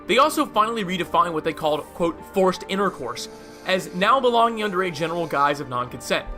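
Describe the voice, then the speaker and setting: A man's voice: high voice, lively intonation, quick pace, sharp tone. A man narrating a scripted video essay, with casual asides, over music. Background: faint music.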